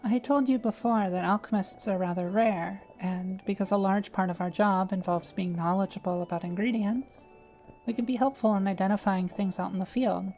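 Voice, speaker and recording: A woman playing a gentle, patient young alchemist who explains things calmly and matter-of-factly in a fantasy audio drama. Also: medium pitch, moderate pace, faint music behind, close to an everyday voice. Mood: explaining, friendly. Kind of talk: fantasy audio roleplay acting.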